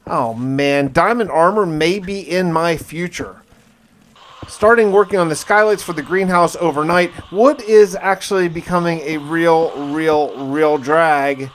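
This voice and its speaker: A man hosting a gaming video, giving casual first-person commentary with folksy exclamations and mild slang. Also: on the high side.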